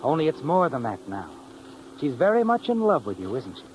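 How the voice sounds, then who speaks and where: A man's voice: mid-range voice, huge pitch swings, brisk, darkish tone, a little hiss or hum, low-quality recording. An actor playing a suave, knowing male narrator who needles the listener in a 1950s radio suspense drama. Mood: knowing, suspenseful. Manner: knowing, needling, with tag questions. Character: suave, insinuating male narrator addressing the protagonist as "you". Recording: old radio recording with music.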